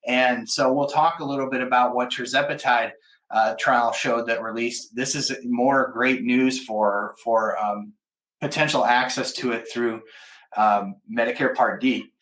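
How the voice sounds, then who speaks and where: A man's voice: medium pitch, fairly even intonation, unhurried, a bit bright. A man hosting a live stream, giving enthusiastic, conversational news commentary and explanations in a casual style. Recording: echoey, roomy sound.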